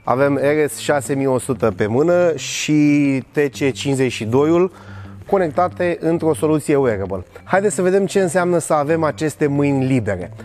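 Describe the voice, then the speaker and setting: A man's voice: on the high side, lively intonation, a little mellow. A man in his thirties presenting and demonstrating a product in a large warehouse, upbeat and scripted. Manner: upbeat, demonstrating while walking and working.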